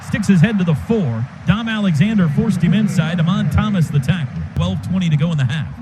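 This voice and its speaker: An American man giving live radio play-by-play of a football game in clipped phrases with excited calls. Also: sits high, a little fast, very noisy.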